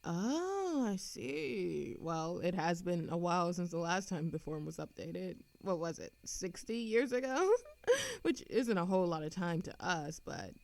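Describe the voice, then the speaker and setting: A woman's voice: on the low side, sing-song, gravelly, darkish tone, no echo, exaggerated voice. Woman acting as a flirty, lilting immortal woman. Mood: musing, distracted. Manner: theatrical, coy, teasing, with frequent giggles and pauses. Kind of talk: scripted audio roleplay addressed to an unheard listener.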